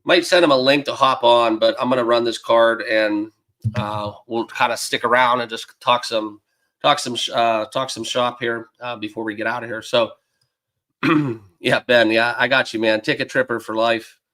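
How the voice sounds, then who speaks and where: Hoarse-voiced man hosting a betting livestream. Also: medium pitch, fairly even intonation, normal speed, bright, forceful tone. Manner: loose and rambling, lots of fillers; greets chat viewers by name. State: losing his voice, a bit hoarse. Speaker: sports betting livestream host. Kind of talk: casual livestream chat. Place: dedicated home studio room.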